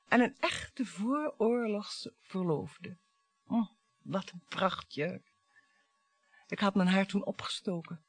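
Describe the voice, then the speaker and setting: An actress in a radio play playing a bitter, provocative wife who dwells on words theatrically. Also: female voice, mid-range voice, slightly rough, no room sound, exaggerated voice. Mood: dreamy. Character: bitter, taunting wife dredging up old family grievances.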